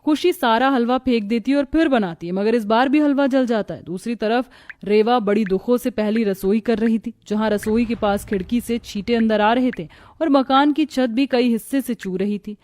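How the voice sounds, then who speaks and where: Female storyteller for animated moral tales: mellow, medium-pitched, scripted narration, very dry sound. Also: moderate pace, no room sound.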